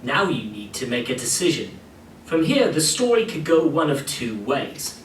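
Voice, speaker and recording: Man as a very animated game narrator. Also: a little high, moderate pace, slight background noise. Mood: guiding, storytelling. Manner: expressive, theatrical. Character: dramatic, guiding narrator of an adventure game. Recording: echoey hall playback, distant, faint music.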